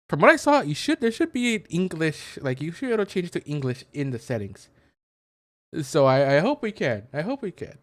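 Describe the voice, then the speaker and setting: A male gaming creator talks casually through a let's play in a mid-pitched voice. His explanations ramble and hesitate as he feels for the right words. The sound is close and dry, with hardly any room in it. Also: dry recording.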